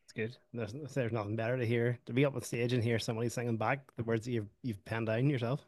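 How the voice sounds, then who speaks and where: A man's voice: mid-range voice, fairly even intonation, fast talker, no room sound. A man hosting a podcast interview as a DJ, friendly and talkative. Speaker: podcast host and DJ. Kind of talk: casual interview chat.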